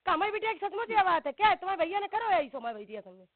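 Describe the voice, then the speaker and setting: A woman's voice: very high voice, sing-song, rapid-fire, dry recording. A middle-aged woman with a rural western Uttar Pradesh accent, arguing accusingly on a phone call and cutting in often. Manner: argumentative, accusatory, interrupting. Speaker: caller, mother in a family dispute. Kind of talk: heated phone argument. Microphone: telephone. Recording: narrowband phone call recording.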